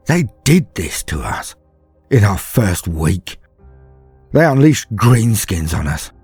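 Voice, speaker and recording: Low, husky haunted soldier voiced by a man. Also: no echo. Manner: slow dramatic pauses, brooding, swinging from hushed to emphatic. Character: frightened, haunted far-future soldier, former factory worker, recalling terrors. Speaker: storyteller. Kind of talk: dramatic first-person horror monologue.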